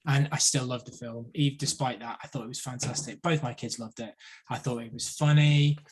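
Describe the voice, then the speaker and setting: A man's voice: mid-range voice, steady tone, fairly quick, husky. Man chatting casually about films. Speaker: podcast panellist. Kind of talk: casual film discussion.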